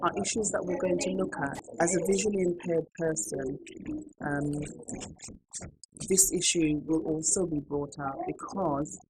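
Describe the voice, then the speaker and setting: A woman with a deep voice talks in an earnest, deliberate way, leaning on repeated words. She sounds like a cast member introducing herself, with clear room noise around her. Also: steady tone. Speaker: radio drama cast member. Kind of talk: introducing herself to camera, unscripted. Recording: clearly noisy room ambience.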